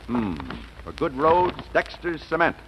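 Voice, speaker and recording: A man's voice: fairly high pitch, sing-song, a little slow, lo-fi sound, slight background noise. An actor playing a breezy, self-assured businessman giddy with infatuation in a 1940s radio comedy, on an old broadcast recording. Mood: enthusiastic pitching. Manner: exuberant, distracted, hums to himself.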